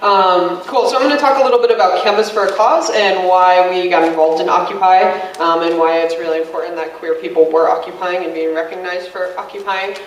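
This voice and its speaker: A man activist giving a casual, upbeat talk to a student group, with a loud voice and many ums. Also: high-pitched, fairly even intonation, fairly quick, few pauses. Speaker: activist, field director. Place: college meeting room. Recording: echoey room from a distance.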